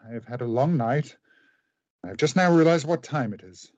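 A man playing a guarded, irritable gentleman doctor in a radio play, with a husky, creaky voice. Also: medium pitch, animated, moderate pace, no room sound, dull audio, lightly acted. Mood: guarded, weary.

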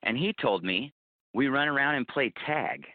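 A man's voice: mid-range voice, expressive, moderate pace, no echo. A man being interviewed as a podcast producer, talking conversationally and explaining things through anecdotes. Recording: phone-like narrow-band connection, dry.

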